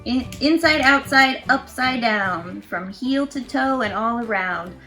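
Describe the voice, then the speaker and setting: A woman hosting storytime, reading picture books aloud to children in an expressive, encouraging way. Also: fairly high pitch, slow pace, echoey room, distant mic, faint music behind. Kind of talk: animated read-aloud to children.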